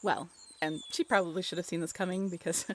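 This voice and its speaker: Woman acting chatty, lowish-voiced young storyteller, noisy recording. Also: fairly even intonation, a little fast, fairly bright tone, lightly acted. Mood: chatty, amused. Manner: informal, giggly, conversational asides with rhetorical questions. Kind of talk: casual, animated storytelling in an audio roleplay.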